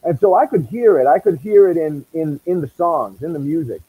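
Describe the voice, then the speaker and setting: A man's voice: fairly high pitch, lively intonation, fairly quick, slightly rough, no echo, narrow, tinny audio. A man with a Boston accent, a musician answering interview questions in a conversational way. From Massachusetts, USA. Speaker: musician guest.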